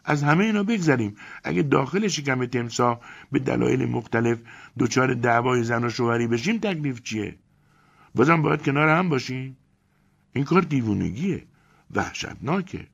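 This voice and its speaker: A man reading aloud and voicing a quick-tempered young wife who shrieks and complains in indignant bursts. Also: mid-range voice, expressive, breathy edge, voice pushed up. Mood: shrieking. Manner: shrieking, exasperated, rapid complaints. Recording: dull lo-fi recording.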